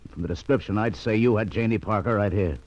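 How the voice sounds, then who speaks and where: A deep-voiced police lieutenant played by a man from a 1940s radio drama, gruff and suspicious. He questions people in a bossy, brusque way. The old recording is somewhat dull. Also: fast, darkish tone, natural voice.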